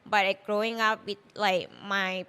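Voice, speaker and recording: A Thai woman in her thirties, speaking English with a Thai accent, talks easily in a relaxed interview. Her voice is smooth and clear at an ordinary middle pitch. She strings her thoughts together with plenty of "like" and "you know". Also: unhurried. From Thailand. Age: 30s. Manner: chatty and loose, full of "you know" and "like". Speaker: chef and guest. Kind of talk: casual interview.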